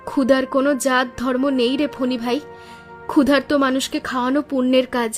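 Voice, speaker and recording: A kind village wife from a cartoon folk tale speaks in a clearly high voice, performed by a woman. She is caring, practical and reassuring. Music plays underneath her. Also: flat delivery, quick pace, lightly acted. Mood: kind, comforting. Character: kind, resourceful village woodcutter's wife, young mother. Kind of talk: Bengali cartoon story voice acting.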